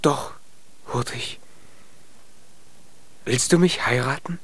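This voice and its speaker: An actor playing a small, earnest, naive young giant in a German children's radio play. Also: male voice, mid-range voice, slow, natural voice. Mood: nervous hope.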